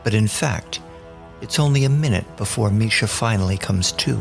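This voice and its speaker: A man's voice: fairly low pitch, expressive, moderate pace, vocal fry. A man narrating a scripted audio drama as the storyteller. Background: music bed.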